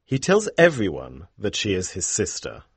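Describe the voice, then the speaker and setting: A man reading a story aloud, voicing a calm, clever detective in a measured, knowing way. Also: sits low, gravelly, husky, dry recording, heavily acted.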